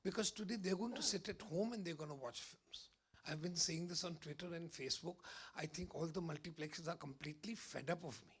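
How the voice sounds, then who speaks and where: A man, hoarse today, speaking emphatically and persuasively as a film distribution executive at a press event. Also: a little high, a little fast. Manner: emphatic, persuasive, confident predictions.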